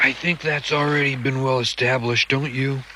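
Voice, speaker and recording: An actor playing a sarcastic, glib ghost hunter in an animated series. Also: male voice, medium pitch, normal speed, very noisy, close to an everyday voice. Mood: indignant, flippant. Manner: sardonic, glib. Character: wisecracking, sarcastic adult male cartoon ghost hunter. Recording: loud soundtrack music behind.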